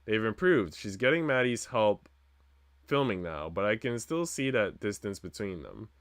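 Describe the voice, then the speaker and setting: A man's voice: fairly low pitch, expressive, normal speed, a bit bright, no echo, clean studio sound. A man streaming a visual novel, reading the story narration expressively with casual asides.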